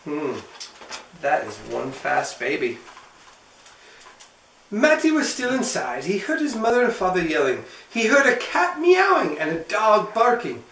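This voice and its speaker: A man's voice: high voice, wildly swooping pitch, unhurried, fairly bright tone, a little hiss or hum. A man reading a children's storybook aloud as host, narrating expressively and playfully for young listeners. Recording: roomy echo, mic at some distance.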